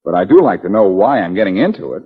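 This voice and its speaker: An actor playing a wry, shrewd, self-assured amateur detective in a 1940s radio drama, probing with deceptively casual questions. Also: male voice, low-pitched, big pitch swings, fast, husky, heavily acted. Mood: relaxed, wry. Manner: probing, wry, hesitating before pointed questions. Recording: dull, noisy 1940s radio recording.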